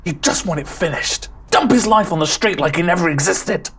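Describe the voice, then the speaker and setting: An actress playing a bereaved, resentful grown daughter in a drama, blunt, wounded and accusing. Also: female voice, on the low side, brisk, heavy background noise, lightly acted. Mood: furious.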